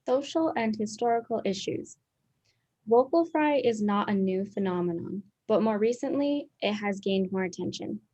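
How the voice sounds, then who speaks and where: Young female student presenter, clear scripted read, muffled lo-fi recording. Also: medium pitch, animated, normal speed, warm tone. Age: young adult. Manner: clear, explanatory reading. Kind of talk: scripted educational narration. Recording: dull lo-fi sound.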